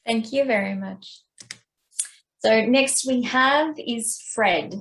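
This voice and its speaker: A woman chairs an online meeting over a video call, speaking slowly and deliberately. She calls up each speaker politely and apologizes readily. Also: fairly high pitch, fairly bright tone.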